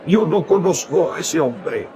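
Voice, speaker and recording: A man playing a frightened man who slowly and defensively denies knowing someone. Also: fairly high pitch, lively intonation, mellow, a little hiss or hum, poor audio. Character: frightened man denying a friend.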